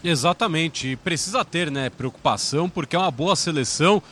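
A man's voice: fairly high pitch, lively intonation, brisk, pushed and bright. A man commenting on a sports talk show, chatting casually and often checking for agreement. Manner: chatty, keeps asking for agreement.

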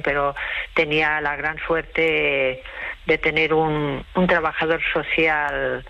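A tired woman interviewed by phone, giving a personal account in a halting, reflective way. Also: deep voice, pushed and bright. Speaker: interview guest, host to migrants. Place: remote phone call. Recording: muffled, band-limited phone call.

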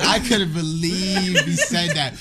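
A man on a sports panel, joking around in playful slang. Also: high voice. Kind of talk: casual group chat on a sports show.

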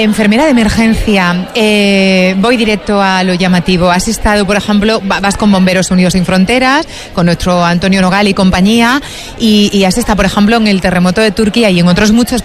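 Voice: medium pitch, brisk. A host running a live on-location interview show, talking in an effusive, exclamatory way. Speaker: radio host.

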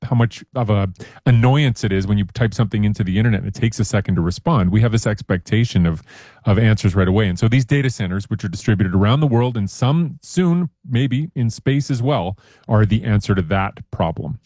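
A man explaining a topic in a measured, careful way with frequent restarts, as a guest columnist in a casual radio chat. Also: on the low side, warm tone, husky, dry recording, studio quality. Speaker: science columnist.

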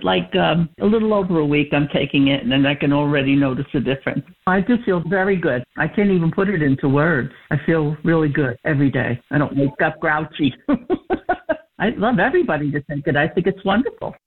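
A woman's voice: low voice, lively intonation, brisk, husky. A woman giving a chatty customer testimonial, laughing as she talks. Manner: chatty, giggly. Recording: phone-line audio.

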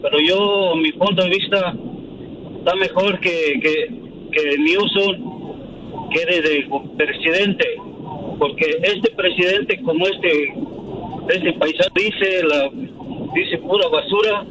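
A Mexican man calling into a radio show, indignant and halting, often repeating himself. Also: high-pitched, noisy background. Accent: Mexican Spanish.

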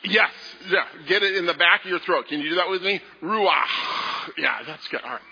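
Gentle playful pastor, echoey church hall. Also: male voice, high-pitched, moderate pace, creaky. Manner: gentle, playful, talking to children. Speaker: pastor leading a children's message. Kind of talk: children's message in a church service. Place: large church sanctuary. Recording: echoey hall, dull lo-fi sound.

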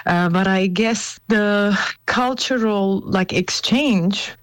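A woman's voice: mid-range voice, slow, no room sound. A middle-aged woman from Lebanon, answering conversationally as a musician guest in an interview. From Beirut, Lebanon. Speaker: interview guest, jazz pianist.

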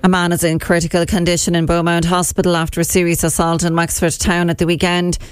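A woman with an Irish accent reading a radio news bulletin in a steady, measured delivery. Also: on the low side, clear, resonant tone. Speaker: radio newsreader.

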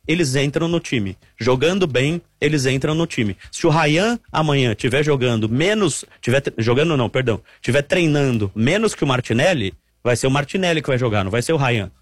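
A man's voice: mid-range voice, expressive, brisk, few pauses, no room sound. Brazilian man, middle-aged, sports reporter, explanatory manner, dry close sound. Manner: chatty and explanatory, with repetitions for emphasis. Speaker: sports reporter covering the national team. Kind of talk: live analysis on a football talk show.

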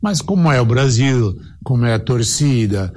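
A man's voice: medium pitch, expressive, darkish tone. An older man who grew up in Italy, telling family stories unhurriedly in an archived interview. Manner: unhurried, anecdotal, quotes relatives.

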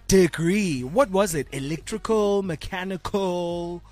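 A woman's voice: lowish voice, takes it slowly, a little mellow. A South African woman hosting a casual radio interview, introducing her guest and putting questions to them. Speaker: radio host.

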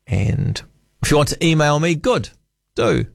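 A man's voice: fairly high pitch, very animated, measured pace, creaky, dry recording, studio quality. A man with a New Zealand accent hosting a late-night talk radio show, chatty and wry, musing aloud. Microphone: studio microphone.

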